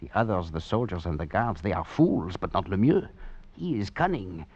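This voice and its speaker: An actor playing a cunning, roguish escaped French convict on the run, in theatrical golden-age radio drama style with French endearments. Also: male voice, sits low, animated, normal speed, a little mellow, breathy edge, heavily acted. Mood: tender, wary. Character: hunted escaped French convict, cunning, roguish, suave, narrating his flight. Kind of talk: 1940s-50s American radio drama acting. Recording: muffled vintage radio recording, music behind.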